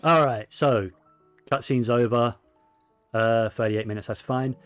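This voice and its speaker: A man's voice: mid-range voice, steady tone, unhurried, smooth, dry recording, soft background music. A man giving casual, rambling gaming commentary, often correcting himself as he goes. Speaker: game streamer.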